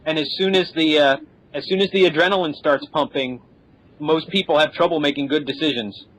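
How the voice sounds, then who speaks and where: A man's voice: a little high, a little fast, a bit bright, rough recording. A man on a podcast panel explaining things in unscripted conversation.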